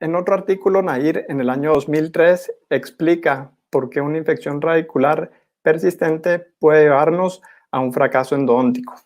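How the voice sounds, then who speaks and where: A young man gives a formal academic presentation in a measured, didactic manner as a postgraduate student. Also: on the high side, darkish tone. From Guatemala. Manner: measured, didactic, clearly enumerating.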